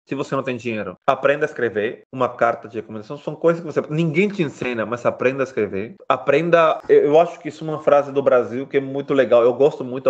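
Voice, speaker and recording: A man from Brazil, a guest in a casual interview, giving frank, animated advice and often addressing listeners directly. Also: medium pitch, lo-fi sound.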